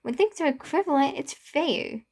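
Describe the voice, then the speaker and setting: A woman's voice: high-pitched, lively intonation, normal speed, a little mellow, voice pushed up. A woman voicing a bitter, distraught young man in a story, impassioned and protesting, with stumbles. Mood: angry, yelling.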